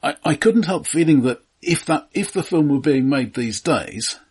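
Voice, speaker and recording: A man's voice: fairly high pitch, lively intonation, moderate pace, darkish tone, breathy edge. A man co-hosting a film podcast, thoughtful and dryly wry, often restarting his sentences. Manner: thoughtful, dry wry humour, frequent restarts. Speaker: podcast co-host. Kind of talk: casual film discussion.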